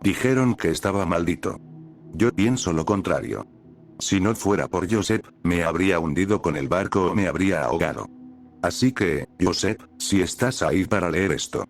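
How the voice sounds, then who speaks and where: A man reading a scripted horror story as the channel's narrator, with a steady, measured delivery. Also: low-pitched, faint music behind.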